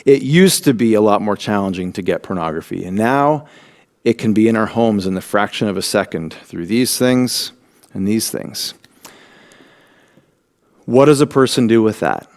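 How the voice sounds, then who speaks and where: A man hosting a church talk program, giving a measured, earnest scripted introduction. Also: lowish voice, a little mellow. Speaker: host.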